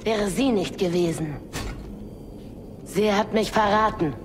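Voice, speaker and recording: A woman playing a menacing, bitter female dark-side inquisitor in an audio drama, with a taunting, deliberate delivery. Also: medium pitch, background noise, natural voice.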